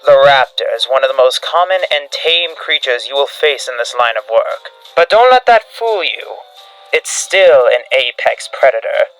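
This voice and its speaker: A man's voice: medium pitch, lively intonation, normal speed, fairly bright tone, clear, resonant tone, low-quality recording. A man delivering scripted analog horror narration like a field briefing, in a grave, dramatic instructional manner. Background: background music.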